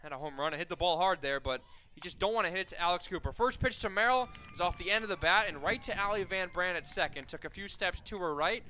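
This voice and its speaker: A young man calling softball play-by-play on the radio, steady and full of stats, rising sharply on big plays. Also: high-pitched, fast talker, hardly any pauses. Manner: steady, fact-filled call that rises sharply on big plays. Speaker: play-by-play announcer.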